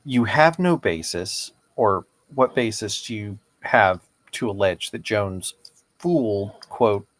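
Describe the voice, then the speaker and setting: A man's voice: on the low side, animated, takes it slowly, no echo. A man reading the part of a persistent attorney in an amateur deposition read-through, formal and pressing. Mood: insistent.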